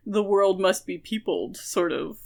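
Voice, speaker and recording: A woman's voice: medium pitch, lively intonation, unhurried, a crowd in the background. Female podcast co-host, mid-range voice, analytical, talks through a book casually and at length. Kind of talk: casual book discussion chat.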